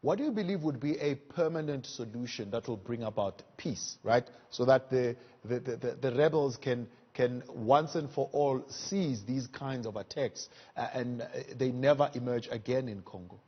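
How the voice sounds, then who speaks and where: A man's voice: medium pitch, moderate pace, hardly any pauses, husky, lo-fi sound. A man interviewing a guest as a live news anchor.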